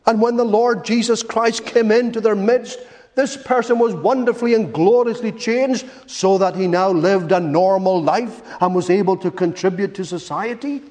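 A man preaching a sermon in an emphatic, rhetorical way, with repeated phrases and dramatic pauses. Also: sits high, a little mellow. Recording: long reverberant hall echo.

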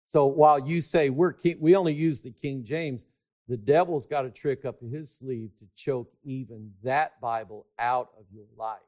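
A man preaching a sermon, swinging from shouted emphasis to hushed asides and stretching key words to drive them home. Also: mid-range voice, unhurried.

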